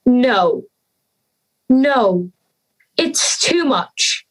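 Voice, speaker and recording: Anxious fairy-tale schoolgirl acted by a young woman, pleading, high voice, very expressive pitch, audio drama. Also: drawn-out words, exaggerated voice, rough recording. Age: young adult. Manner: hesitant, pleading.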